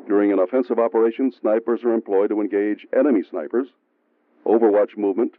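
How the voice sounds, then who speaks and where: A man giving steady, measured scripted narration for a military training film. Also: on the low side, no echo. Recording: tinny phone-like sound from an old training film, faint music.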